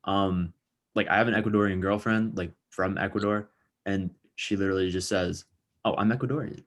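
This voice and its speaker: Very deep-voiced young man musing aloud. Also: fairly even intonation, brisk. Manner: thinks aloud with many likes and restarts. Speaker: podcast co-host. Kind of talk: casual discussion.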